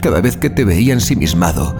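A man playing a doomed, morbidly obsessed passenger in a horror audio drama, delivering a slow, brooding literary monologue. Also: low voice, lively intonation, over loud music. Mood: dread, fascinated. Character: doomed male passenger, obsessed with his murderous chauffeur.

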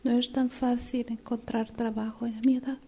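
Actriz haciendo de mujer desesperada buscando trabajo, voz aguda. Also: female voice, fairly high pitch, level tone, warm tone, slightly rough. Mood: desperate, pleading. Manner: hesitant, halting, deferential. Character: desperate middle-aged female job seeker, humbled former manager. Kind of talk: radio drama acting.

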